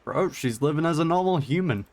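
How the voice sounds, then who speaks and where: A man's voice: a little high, animated, fairly quick, background noise. A man giving casual, off-the-cuff reactions to a video game in an informal, slangy, chatty way. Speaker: gaming reaction streamer.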